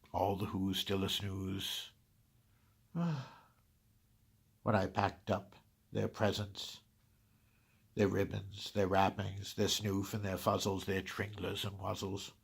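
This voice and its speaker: A man's voice: on the low side, vocal fry, husky, exaggerated voice. A man voicing a grumpy, scheming Christmas-hating creature in a dramatic storybook reading, sighing and dropping into whispers. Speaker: reader.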